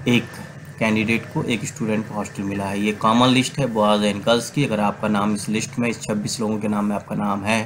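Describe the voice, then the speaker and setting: A man with a fairly low voice narrates a screen-share walkthrough as a channel host. His delivery is steady and explanatory, reading out numbers and names one at a time. A faint hum sits behind the voice-over. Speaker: channel host giving admission updates. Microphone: voice-over recorded apart from screen capture.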